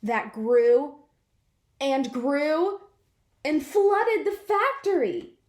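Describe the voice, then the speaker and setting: A woman reading a picture book aloud to children in an expressive way, drawing out words for effect. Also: sits high, very slow. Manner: animated, draws out words for effect. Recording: roomy, echoing sound.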